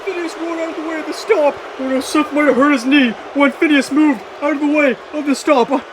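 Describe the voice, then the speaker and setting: A performer playing an excitable, anxious wrestling commentator, giving play-by-play on a noisy home-made recording. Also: high-pitched, fairly quick, natural voice. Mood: alarmed, excited. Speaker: wrestling commentator and ring announcer.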